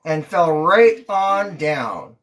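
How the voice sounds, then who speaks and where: Female storybook reader, unhurried and animated, expressive delivery for young listeners. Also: on the low side. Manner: expressive, acting out characters' lines. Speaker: mother reading to her daughter on a livestream. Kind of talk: storybook read-aloud.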